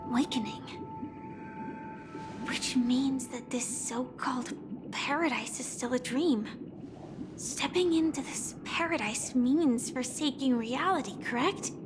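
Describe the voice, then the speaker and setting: A woman playing a gentle, resolute young heroine in a video game, speaking in a measured, earnest way. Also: high-pitched, over music. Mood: doubtful, challenging. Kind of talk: video game cutscene acting.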